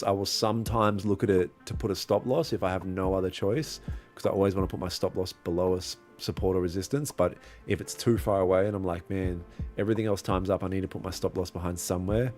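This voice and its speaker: Brief: young Aussie man, lowish voice, easygoing streamer who calls everyone bro, faint lo-fi music underneath. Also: a little mellow. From Australia. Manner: slangy and laid-back, calls viewers bro and brother. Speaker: livestreaming trader. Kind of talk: casual live-stream chat, reading and answering viewer comments.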